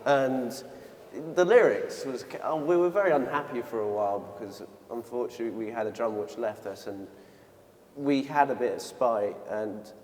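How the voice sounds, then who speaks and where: A man's voice: mid-range voice, animated, moderate pace, a little mellow. A man who is a band guest in an interview, speaking loosely and casually, with some grammar slips. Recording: studio with long reverb.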